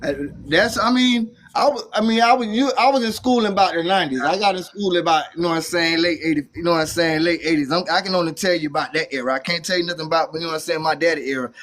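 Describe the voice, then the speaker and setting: A man's voice: fairly high pitch, fairly even intonation, fast talker, hardly any pauses, fairly bright tone. A man in his forties, born in the US, talking in a rambling way with lots of fillers in casual chat. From Haitian American, born in the US.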